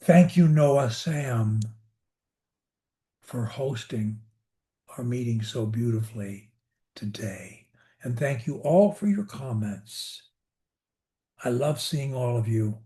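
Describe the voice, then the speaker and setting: A man's voice: medium pitch, lively intonation, takes it slowly, pauses often, dark tone. A man who coaches the group, speaking casually on a video call and heaping praise on the members. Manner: effusive and full of praise. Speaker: speech coach.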